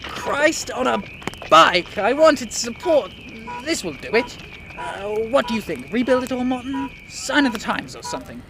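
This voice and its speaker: An actor playing a stunned, indignant prime minister in an audio drama, blustering and spluttering in halting phrases. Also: mid-range voice, sing-song, a crowd in the background. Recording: noisy scene with ambience behind.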